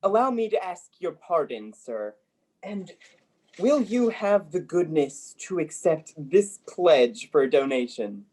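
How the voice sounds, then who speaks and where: Give the voice of a reformed old miser played by a young performer in an old radio play. The old gentleman is giddy and generous, exclaiming in hearty, courtly phrases at a middling pitch. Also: very animated, slow. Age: young adult. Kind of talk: 1930s radio play acting.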